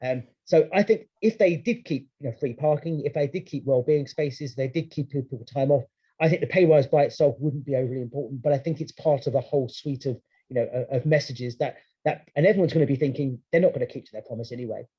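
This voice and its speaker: A man's voice: medium pitch, quick pace, dark tone, slightly rough. A man speaking at a webinar, answering a question in a conversational way with many restarts. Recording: dull lo-fi video-call audio.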